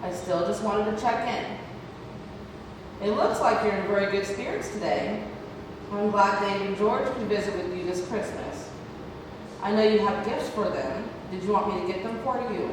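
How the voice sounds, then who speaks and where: A woman at a play table read, playing a kindly, tactful nurse with a polite, measured manner. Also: mid-range voice, close to an everyday voice. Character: kindly, tactful female nurse, gently firm. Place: large indoor theatre room, far microphone. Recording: distant, echoey hall, noisy room recording.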